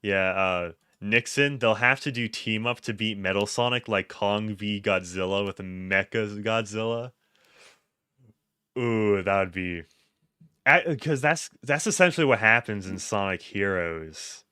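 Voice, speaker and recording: A man hosts a casual stream, his lowish voice bright and clean on a dry close mic. He reads chat aloud, laughs easily and often trips over himself to restart a thought. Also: a little slow, clean tone, dry recording. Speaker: streamer host. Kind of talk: casual livestream chat, reading chat and tweets aloud.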